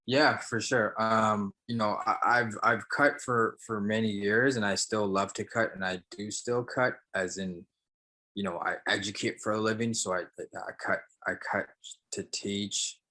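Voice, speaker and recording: A young man with a very low voice and a bright, forceful edge to it. He answers interview questions casually, pausing often with fillers and restarting his sentences. He grew up after leaving Sri Lanka as a child. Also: steady tone. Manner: halting, many fillers and restarts. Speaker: guest, barber and entrepreneur.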